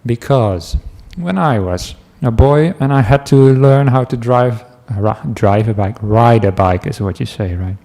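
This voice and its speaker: A man from the Netherlands with a Dutch accent, a meditation teacher giving a relaxed talk to a class, telling stories with asides and small laughs. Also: medium pitch, measured pace, hardly any pauses. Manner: gentle, chatty storytelling with asides and small laughs.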